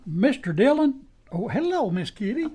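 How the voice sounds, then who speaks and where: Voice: medium pitch, sing-song, normal speed, dark tone, husky. An earnest, folksy sidekick from a radio western, acted out by a performer. The American voice rises and falls a great deal, pleading urgently in plain country dialect. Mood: anxious. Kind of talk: old-time radio western acting.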